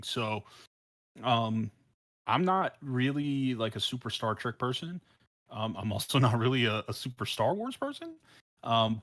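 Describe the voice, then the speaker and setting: A man chatting casually as a podcast panelist, talking in a rambling, halting, self-deprecating way. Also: mid-range voice, fairly bright tone. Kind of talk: casual fan chat.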